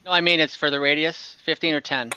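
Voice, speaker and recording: A man's voice: a little high, fairly even intonation, fast, sharp tone, smooth, dry recording, highs cut off. A man running an online tabletop game as game master, talking in a casual, informal way.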